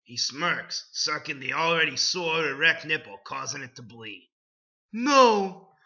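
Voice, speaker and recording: A man's voice: medium pitch, moderate pace, sharp tone. A man giving an over-the-top dramatic reading, with an overwrought delivery broken by dry asides. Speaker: dramatic reader. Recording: dull lo-fi recording.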